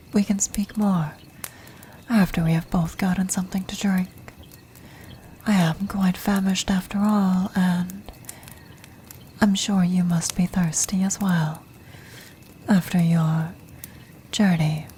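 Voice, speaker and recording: A woman voicing an ancient, commanding vampire matriarch in a whispered ASMR fantasy roleplay, refined, breathy and formal. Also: fairly low pitch, warm tone, slight background noise. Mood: poised, gracious. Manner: formal, measured, aristocratic.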